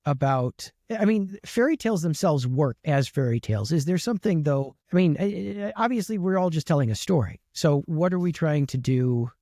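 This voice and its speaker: A man's voice: fairly high pitch, animated, moderate pace, hardly any pauses, warm tone, no echo, clean studio sound. A man hosting a casual interview, feeling his way through rambling, tentative questions. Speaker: interviewer, host.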